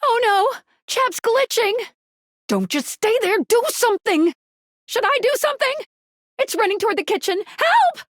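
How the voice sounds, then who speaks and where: A man's voice: very high voice, sing-song, normal speed, fairly bright tone, no echo, clean studio sound, full character voice. A man voicing a hyperactive goofball game mascot, campy and theatrical, full of playful silliness. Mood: panicked.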